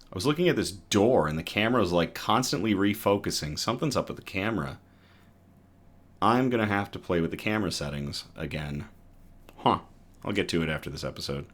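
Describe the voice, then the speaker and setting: A man's voice: low-pitched, lively intonation, fairly quick, fairly bright tone. A man hosting a good-news show, reading news articles aloud and breaking off for casual asides to his live chat. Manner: stumbles and restarts over technical terms.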